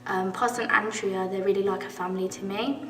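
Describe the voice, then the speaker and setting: A woman in her twenties giving an open, reflective church testimony in a soft voice. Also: mid-range voice, steady tone, fast. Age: 20s. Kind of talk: testimony interview. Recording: echoey hall, mic far off, music behind.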